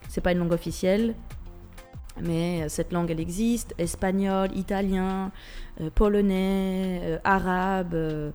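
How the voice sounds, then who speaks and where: Swiss French woman, radio guest, hesitant and low-pitched, soft music under. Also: steady tone, a little slow. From Switzerland. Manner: hesitant, many fillers and restarts. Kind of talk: radio interview.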